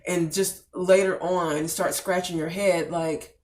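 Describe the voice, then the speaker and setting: A woman's voice: lowish voice, steady tone, slow pace, a little mellow, distant mic, slight background noise. A woman in her forties co-hosting a casual chat, chatty and agreeable, often chiming in to agree. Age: 40s. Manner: chatty, agreeing, says "y'all". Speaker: podcast co-host.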